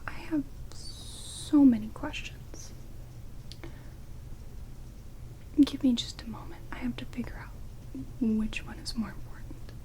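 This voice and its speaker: A woman's voice: fairly high pitch, measured pace, close to an everyday voice, rough recording. A woman playing a sardonic, reluctantly helpful vampire in a whispered ASMR roleplay, dry and rude, close on binaural mics. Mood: exasperated. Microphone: close binaural microphones. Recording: binaural whisper with steady rain noise. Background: heavy rain.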